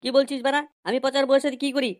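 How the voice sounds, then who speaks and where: A woman acts a crude, hard-drinking village husband from a comedy cartoon. The voice is artificially high and races along very fast, coarse and blustering, in a dry sound. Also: level tone, no room sound, close to an everyday voice. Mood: belligerent. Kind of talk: amateur comedy cartoon dubbing.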